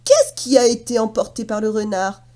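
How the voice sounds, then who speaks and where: A woman's voice: on the high side, moderate pace, warm tone, lightly acted. A woman voicing a blustering, hard-of-hearing male farmer in a children's story. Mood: puzzled.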